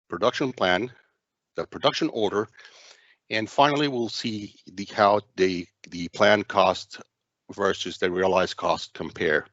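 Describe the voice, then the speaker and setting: A man narrating a software demo walkthrough as its presenter, speaking in a halting, hesitant way with frequent stumbles. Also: lowish voice, dry recording, muffled recording.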